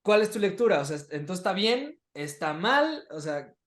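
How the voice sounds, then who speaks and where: Animated Mexican man chatting in echoey room. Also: high-pitched, normal speed. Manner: animated, mimics what people say in quotes. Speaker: podcast host and interviewer. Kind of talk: casual chat interview.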